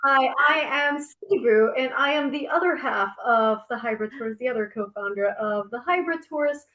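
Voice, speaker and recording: A woman from Costa Rica, a travel company co-founder, talking casually on a video call and often pausing to rephrase her thoughts. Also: on the high side, a bit bright, echoey room, rough recording. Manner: reflective, circling back to rephrase.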